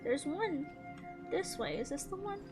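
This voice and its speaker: A woman hosting a gaming video with casual, chatty commentary, thinking out loud as she plays. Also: high voice, big pitch swings, measured pace, over music.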